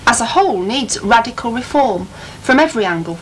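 A woman's voice: a little high, animated, brisk, low-quality recording. A woman, firmly giving her opinions in a documentary interview at home. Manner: firm, opinionated. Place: room at home. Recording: old VHS tape with faint hiss.

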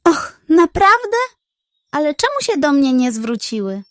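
Woman as a naive, proud, high-pitched hen. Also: big pitch swings, unhurried, a bit bright, dry recording, clean studio sound, exaggerated voice. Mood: flattered. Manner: vain, enthusiastic, wide-eyed. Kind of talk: children's storybook character voice.

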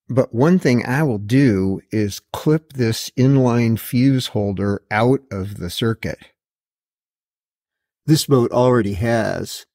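Middle aged guy doing diy how-to narration, average pitch, matter of fact, deliberate. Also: darkish tone. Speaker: host of a DIY boat install video.

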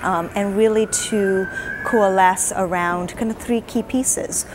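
A woman in her forties, an advocacy director answering interview questions in an earnest, measured way with frequent fillers, seated in a hotel lounge. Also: medium pitch, steady tone, noisy background.

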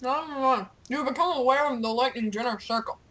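A young woman gamer with a playful, excitable, teasing manner, chatting over gameplay and reading game dialogue aloud. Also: high voice, a little fast, low-quality recording. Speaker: gamer, video host.

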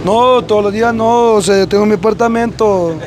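Young Salvadoran male interviewee, high-pitched, emphatic and slangy, on a noisy street with traffic. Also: fairly quick. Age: young adult. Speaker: interview guest, former footballer living on the street. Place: outdoors on a city street at night. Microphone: clip-on lavalier.

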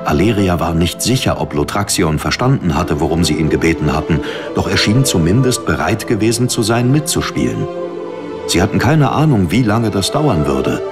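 A man's voice: low-pitched, sing-song, normal speed. A man reading scripted dramatic narration as an audiobook narrator. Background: music under the narration.

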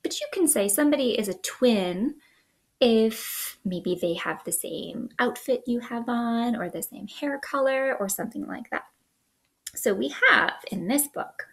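Woman doing kids storytime, higher voice, takes her time, sing-song and expressive. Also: measured pace, creaky. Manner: sing-song, expressive, asks children questions. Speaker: children's librarian, storytime host. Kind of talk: picture book read-aloud for young children. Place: small living room indoors.

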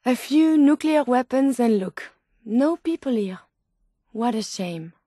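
A woman's voice: on the high side, expressive, takes it slowly, mellow, dry recording, studio quality. A woman voicing a curious, polite female robot scientist in a video game, with precise, formal phrasing and earnest naivety. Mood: curious, matter-of-fact. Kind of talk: video game companion dialogue.

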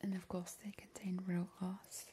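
A woman playing a sly, macabre immortal vampire who scorns mortals, whispering slowly and teasingly in an ASMR roleplay. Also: lowish voice, flat delivery, noisy background, close to an everyday voice. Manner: slow, teasing, drawn-out whispering. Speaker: ASMR host. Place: indoor kitchen.

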